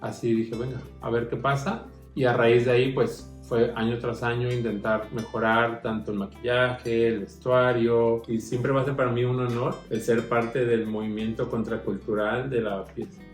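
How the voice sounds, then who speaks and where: Drag performer, male, mid-pitched conversational delivery, echoey room with faint music. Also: little pitch movement, moderate pace, few pauses. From Mexico. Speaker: drag queen street performer. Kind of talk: personal interview talk.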